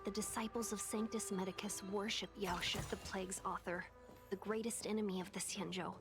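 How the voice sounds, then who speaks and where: A woman's voice: mid-range voice, fairly even intonation, fairly quick, over music, natural voice. A woman voicing an earnest, grave soldier of an ancient alliance in a video game. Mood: grave, urgent. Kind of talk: scripted video game voice acting.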